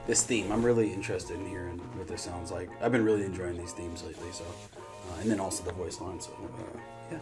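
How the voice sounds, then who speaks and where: Male reaction host, low register, informal and profane commentary, music playing underneath. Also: fairly even intonation, a little fast.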